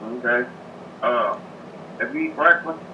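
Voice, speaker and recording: A man's voice: mid-range voice, unhurried. Muffled man on a phone call. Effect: phone call through a car radio speaker. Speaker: phone caller. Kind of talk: casual phone chat. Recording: dull, noisy phone call over speaker.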